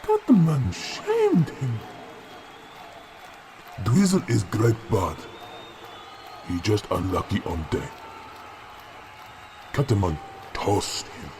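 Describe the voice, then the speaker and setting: A man's voice: low-pitched, background noise, full character voice. A man voicing a boastful male bard who talks about himself by name in clipped, broken grammar, with a crowd behind him.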